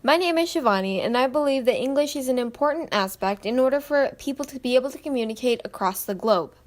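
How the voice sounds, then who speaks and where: A woman delivers a quick, rehearsed opinion. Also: high voice, expressive. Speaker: interviewee.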